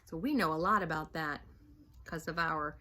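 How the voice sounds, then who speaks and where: A young adult woman, a teacher, reading a picture book aloud to children in an expressive teacher voice. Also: low voice, normal speed.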